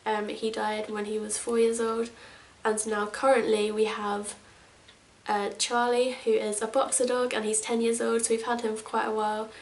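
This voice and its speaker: A woman's voice: a little high, flat delivery, moderate pace, a little hiss or hum. A woman in her twenties from England, chatting casually to camera as a vlogger, rambling with lots of fillers. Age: 20s. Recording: echoey room, mic at a distance.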